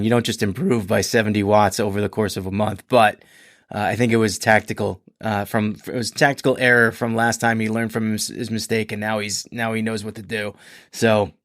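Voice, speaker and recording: Hoarse, chatty male podcast host. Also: fairly low pitch, fairly even intonation, moderate pace, dry recording, clean studio sound. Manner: rambling, chatty, many restarts and fillers. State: hoarse and congested. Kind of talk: casual solo podcast monologue.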